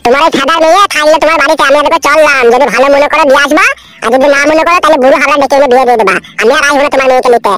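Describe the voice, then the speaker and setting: A woman's voice: high voice, very fast, natural voice. A woman voicing an aggrieved, foul-mouthed young cartoon son-in-law who argues and complains sarcastically. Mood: bitter. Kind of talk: crude comedy cartoon dubbing.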